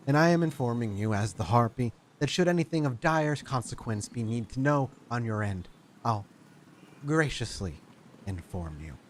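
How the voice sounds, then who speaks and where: A suave vampire gentleman in his forties, as a man voices him for an audio tabletop game. He speaks smoothly and with a condescending courtesy, never hurried, at a middling pitch for a man. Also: animated, slight background noise, close to an everyday voice. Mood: courtly.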